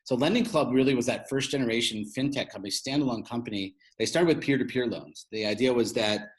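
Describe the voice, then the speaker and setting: A man's voice: medium pitch, fast talker. A man speaking as an interview guest, explaining his field in an informal, conversational way. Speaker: interview guest, fintech media founder.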